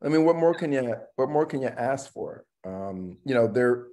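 Reflective, halting middle-aged man. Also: mid-range voice, expressive, warm tone. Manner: reflective, halting, repeats words. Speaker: actor, panel guest. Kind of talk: casual panel conversation. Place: room at home, on a video call. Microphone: video call.

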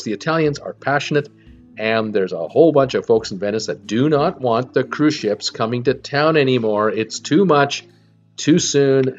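Guy chatting about travel, folksy, kinda dull sound w faint music. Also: mid-range voice. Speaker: cruise travel vlogger. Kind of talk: casual commentary over travel footage.

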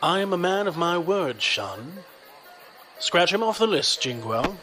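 An actor playing a wealthy, coolly menacing creditor, formal and measured, in an audio drama. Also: male voice, high-pitched, sing-song, sharp tone, faint music behind, exaggerated voice. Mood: cool, businesslike. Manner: formal, measured, proverb-quoting. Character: wealthy, punctilious crime-boss creditor, man, coolly menacing.

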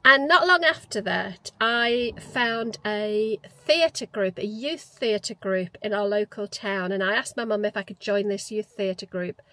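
A woman's voice: mid-range voice, measured pace, few pauses, bright, forceful tone, poor audio. A Geordie woman in her fifties telling a personal story as a vlogger, chatty and reflective, recorded in a parked car on a lapel mic. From North East England. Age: 50s. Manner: chatty, reflective, with frequent restarts.

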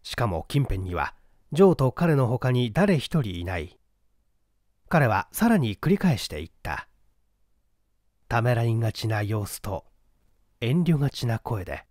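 Male audiobook narrator, dramatic literary mystery reading, expressive pitch movement, dry sound. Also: unhurried, long pauses, no room sound.

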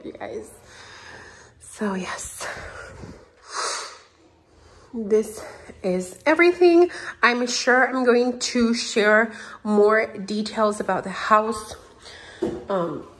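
A young woman from Puerto Rico, gushing with excitement as she films a tour of her new empty house on a handheld phone. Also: a little high. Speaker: vlogger. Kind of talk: home tour vlog. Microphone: handheld phone camera.

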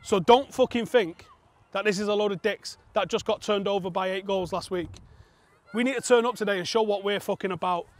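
A man, a football coach giving a blunt, sweary pre-match team talk outdoors on a pitch. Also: a little fast. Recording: outdoor pitch with faint background noise.

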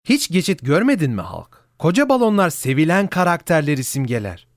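A man's voice: fairly high pitch, big pitch swings, a little fast. A man playing a harried, glib office boss who placates people, in a cartoon parody dub. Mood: dismissive.

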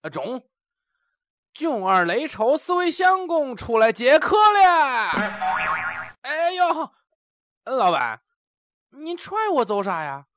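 A woman's voice: high voice, sing-song, takes it slowly, long pauses, no echo, clean studio sound, heavily acted. A woman playing a rustic, eager male brothel attendant in a comic audio drama. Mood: obliging. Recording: loud music behind the voice.

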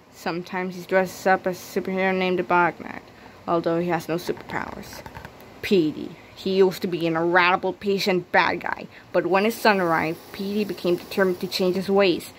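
A woman's voice: fairly low pitch, steady tone, moderate pace, a bit bright, a little hiss or hum, poor audio. A woman reading a children's book aloud as narrator, recorded on a handheld device indoors.

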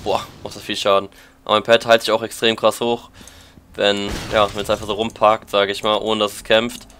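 A German man with a lingering cold talks his way through a game session, thinking aloud in a chatty way. His voice sits low yet sounds bright and forward, and faint game music plays behind him. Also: completely flat delivery, moderate pace. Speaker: let's play commentator. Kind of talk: casual gameplay commentary.

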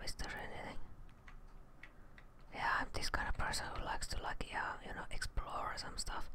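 A young woman whispering breathy ASMR commentary as she plays a video game, close to the microphone. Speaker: ASMR gamer, host. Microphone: desk microphone up close, headphones on.

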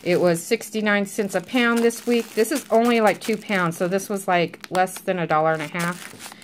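A middle-aged American woman talks in a chatty, folksy way at a medium pitch. She is recorded at home on a handheld device, with clear background noise and crinkling packaging around her voice. Her delivery is informal and unscripted. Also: fairly even intonation, normal speed. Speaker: vlogger showing a grocery haul. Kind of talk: casual chat to camera. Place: indoors at home, at a table. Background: crinkling bags and handling clicks.

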